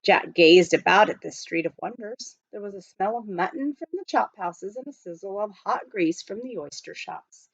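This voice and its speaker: A woman reading a children's novel aloud as scripted narration, with expressive storytelling. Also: mid-range voice, normal speed, vocal fry, few pauses, no echo, muffled recording. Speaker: audiobook reader.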